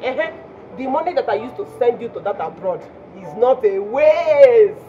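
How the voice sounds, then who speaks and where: A woman's voice: fairly high pitch, lively intonation, normal speed, music in the background, lightly acted. An actress with a Nigerian accent playing a hot-tempered, theatrical jilted girlfriend in a phone-call drama. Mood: amazed, adoring. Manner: theatrical, exclamatory, repetitive. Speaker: actor in a comic relationship drama.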